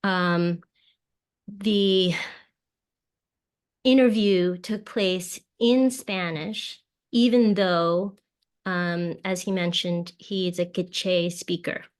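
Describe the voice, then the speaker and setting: A woman hosting an interview, asking follow-up questions in a measured way, searching for words. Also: lowish voice, steady tone, clear, resonant tone. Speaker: interviewer, event host.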